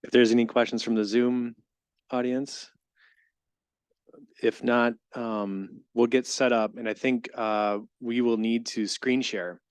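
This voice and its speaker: A man organizing a workshop, speaking to the audience in a relaxed, informal way. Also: medium pitch, takes it slowly, smooth, no echo. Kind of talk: casual remarks to an audience.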